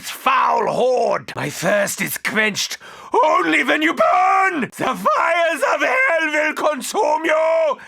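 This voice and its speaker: A menacing mad doctor from a video game, speaking with a German accent in grand, formal phrases. The voice is bright and forceful, often pushed toward a shout, with a gravelly edge. He is arrogant and theatrical throughout. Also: very high voice, exaggerated voice. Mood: wrathful. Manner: grandiose, formal, precisely worded. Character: arrogant, menacing male mad doctor battling undead hordes.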